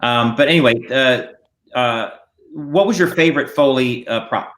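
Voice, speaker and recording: A man's voice: medium pitch, unhurried, fairly bright tone, low-quality recording. A man hosting an interview over a video call, asking questions in a casual, rambling way. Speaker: interview host. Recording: video call with faint noise.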